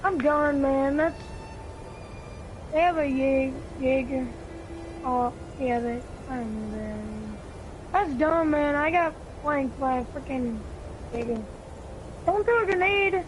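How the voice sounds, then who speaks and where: A gamer with a high voice talks casually with teammates in the middle of an online match. The delivery is conversational, made up of short tactical callouts. Clear noise runs through the recording. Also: takes it slowly, pauses often. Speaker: video game player talking with teammates. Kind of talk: casual multiplayer gaming chatter, callouts to teammates. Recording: clearly noisy gaming mic recording.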